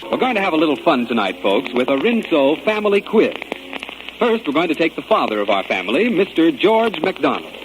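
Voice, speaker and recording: A man's voice: a little high, big pitch swings, a little fast. A man hosting a quiz in an old-time radio advertisement with practised announcer patter. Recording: noisy old radio recording.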